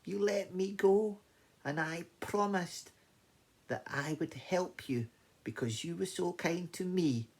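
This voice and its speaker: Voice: medium pitch, slow pace, breathy edge, pitched above the performer's own voice. A storyteller voicing a tiny, polite female mouse, earnest and eager, in a small voice for a children's story. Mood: friendly, earnest.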